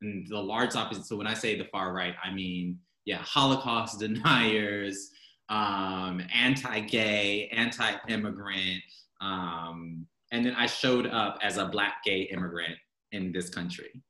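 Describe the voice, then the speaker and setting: A man's voice: on the low side, unhurried, bright, forceful tone, reverberant. An American man chatting casually as a guest, telling stories animatedly and laughing often. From United States. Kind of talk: casual chat. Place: home in Berlin.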